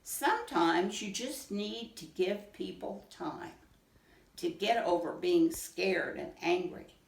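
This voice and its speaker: Little male storybook ant, as a woman reads him: indignant, fair-minded, brisk scolder, animated, in an echoey room. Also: on the low side, creaky, far from the mic, full character voice. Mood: forgiving, firm.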